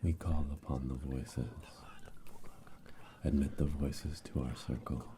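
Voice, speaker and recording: A man voicing a solemn witch coven elder in an ASMR roleplay, hushed and breathy, chanting ominously close to a binaural microphone. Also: a bass voice, fairly even intonation, slight background noise. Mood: solemn, incantatory. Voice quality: breathy whisper. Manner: slow, incantatory, repetitive, ominous.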